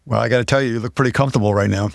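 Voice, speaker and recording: Man, podcast host, relaxed and chatty, low pitch, gravelly, dry close mic. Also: racing, dry recording. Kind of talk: casual interview hosting.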